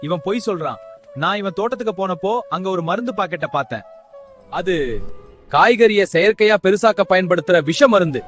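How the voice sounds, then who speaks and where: High-pitched village man played by a male actor, earnest and plain-spoken, for animated moral-story dialogue. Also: a little fast, soft background music. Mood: stern.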